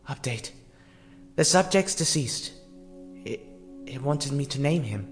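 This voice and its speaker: A man acting a compassionate, hesitant character in a fan audio drama, earnest and halting in his delivery. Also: medium pitch, expressive. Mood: subdued, reflective. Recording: long reverb, music underneath.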